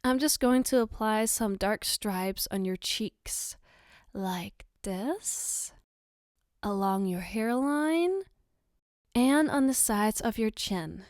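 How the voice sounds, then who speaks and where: A smooth, fairly high voice from a woman acting as a caring girlfriend in an ASMR roleplay. She takes her time, explaining things patiently with gentle reassurance and a playful, teasing touch. The sound is dry, with hardly any room to it. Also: lively intonation, slow pace, no echo. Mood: soft, explaining. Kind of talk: ASMR roleplay, soft personal attention.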